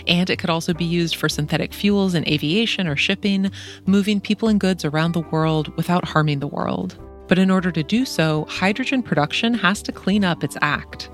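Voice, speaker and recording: Podcast host reading a script, mid-pitched and clear, faint music behind. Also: moderate pace. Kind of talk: scripted narration and interview questions.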